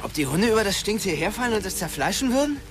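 An actor playing a goofy, excitable, stoned teenage boy with slangy speech in a radio play. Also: high-pitched, fast talker, soft background music. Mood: giddy. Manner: slangy, youthful. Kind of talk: naturalistic radio play dialogue.

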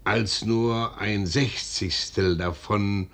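An actor playing a stiff, dutiful Swedish colonel and envoy with a husky voice, speaking formal, measured classical German verse. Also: male voice, on the low side, steady tone, a bit bright, heavily acted. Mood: measured, guarded. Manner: formal, measured, reserved declamation. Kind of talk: classical German stage verse, radio drama acting.

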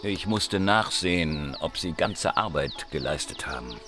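An actor playing a coarse, defensive, irritable mobster in a radio crime drama. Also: male voice, rumbling low, expressive, unhurried, music in the background. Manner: gruff, cursing, defensive. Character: coarse, irritable male Sicilian mobster with guilty secret.

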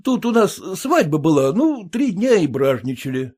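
A man's voice: high voice, very animated, moderate pace, a little mellow, slightly rough, voice pushed up, heavily acted. A man voicing a cheerful village schoolteacher who teases his old friend. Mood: teasing. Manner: teasing, talkative.

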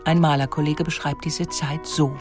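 A woman reading scripted documentary narration, articulate and deliberate, with emphatic storytelling. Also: sits low, level tone, darkish tone, faint music behind.

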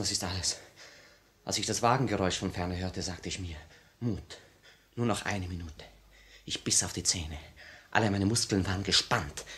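An actor in a 1950s German radio play playing a tired, frightened, ashamed revolutionary in an anguished, confessional manner. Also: male voice, on the low side, natural voice. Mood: anguished, confessing. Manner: confessional, anguished, dramatic swings from hushed to outbursts.

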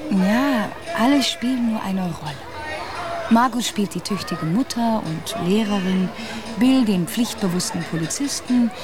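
An actress in a naturalistic radio drama playing a casual, mildly guarded married housewife. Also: female voice, mid-range voice, animated, a little slow, crowd noise, close to an everyday voice. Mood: wry.

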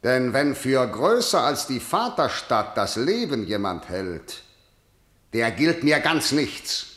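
A man's voice: medium pitch, big pitch swings, takes it slowly, a bit bright. A man playing a stern, imperious ruler in a German verse radio drama, declaiming harsh law with commanding authority. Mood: stern resolve. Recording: echoey hall with long reverb.

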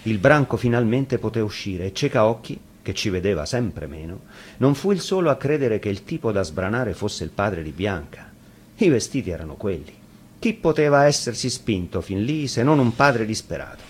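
A man's voice: on the low side, big pitch swings, moderate pace. A man narrating a scripted fairy tale dramatically, as a storyteller. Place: radio studio. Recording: faint background noise.

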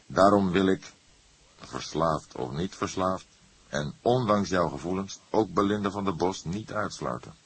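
Man playing a low, husky old detective. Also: exaggerated voice. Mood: firm. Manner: measured, stern, deliberate. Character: old grey-haired male police detective, calm, stern, shrewd.

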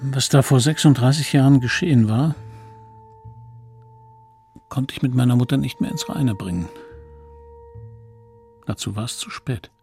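An actor playing a middle-aged man who looks back on his childhood in a radio play, reflective, confiding and gravelly. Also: mid-range voice, measured pace, long pauses, a little mellow, faint music behind. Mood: grieving, remorseful. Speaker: narrator. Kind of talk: dramatic first-person radio play narration.

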